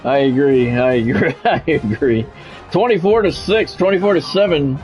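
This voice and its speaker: A man's voice: fairly high pitch, moderate pace, dull audio. Man calling sports game action, music behind. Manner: radio-style play-by-play calls in short clipped phrases, with salty asides. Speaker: gaming streamer and play-by-play commentator. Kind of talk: live sports video game commentary mixed with casual chat.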